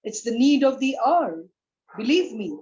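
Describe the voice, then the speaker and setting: A woman with an Indian accent speaks as a poet at an online reading. Her voice sits a little high and her delivery is slow and measured. The call audio is dull and lo-fi. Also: very animated, darkish tone. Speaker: poet and English professor. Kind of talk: poetry recitation at an online event.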